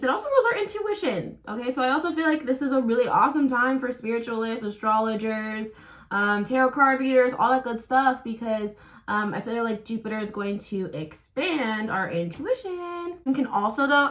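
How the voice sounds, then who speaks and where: A young woman chatting casually about astrology while doing her makeup, soft-voiced and full of likes and ums. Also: a little high. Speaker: astrology vlogger. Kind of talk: casual get-ready-with-me chat. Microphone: built-in camera mic.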